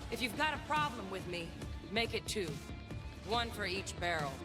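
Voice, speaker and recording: A battle-worn undead gunslinger woman from a video game, played by an actress. She is grave and defiant, with a dry wit and a middling pitch. Prominent music plays behind her dramatic lines. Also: lively intonation, normal speed, natural voice. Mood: wry, defiant. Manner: solemn, dramatic, dryly wry delivery.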